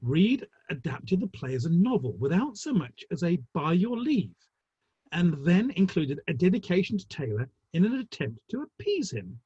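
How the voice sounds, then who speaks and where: A dry, arch gentleman of the Victorian theatre world played by a man, husky and animated in delivery. He gossips with urbane relish in a dramatic play reading, heard through dull, roomy online audio. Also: sits high, moderate pace, exaggerated voice. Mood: wry gossip.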